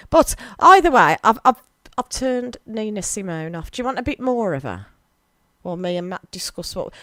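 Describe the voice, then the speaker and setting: An English woman hosting a local radio show, chatting casually and giggling, with a slightly gravelly voice tonight. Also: mid-range voice, dry recording. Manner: chatty, giggly, talks over music. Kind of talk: casual chat. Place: small local radio studio.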